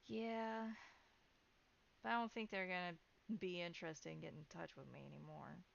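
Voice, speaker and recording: A woman player improvising in-character chat in a tabletop role-playing game, speaking in a halting, informal, conversational way. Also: lowish voice, steady tone, creaky, muffled recording.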